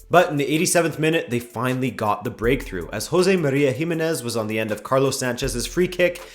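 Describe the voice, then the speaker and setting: A man hosting a daily football review show, delivering a scripted match rundown with dry, wry asides while losing his voice a little. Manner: dry, wry commentary with lively pitch swings.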